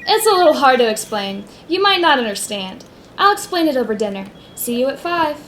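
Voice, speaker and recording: A woman's voice: sits high, big pitch swings, a little fast, fairly bright tone, slight background noise. A woman playing a knowing, upbeat informant in a detective radio play, chatty and matter-of-fact. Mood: coy. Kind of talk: radio theater acting.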